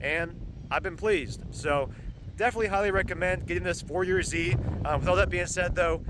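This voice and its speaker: A man with a slightly high voice talks through a casual car-part review, halting and rambling with frequent ums. He is outdoors, and strong gusts batter the mic with loud wind noise. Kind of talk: casual product review vlog. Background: gusting wind.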